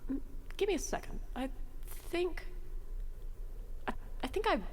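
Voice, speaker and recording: A woman's voice: extremely high pitch, expressive, measured pace. A woman playing a playful space commander turned magician's assistant, mock-grand and nonchalant, in a sci-fi audio drama. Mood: nonchalant, teasing. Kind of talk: science fiction audio drama acting. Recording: long reverb, faint noise.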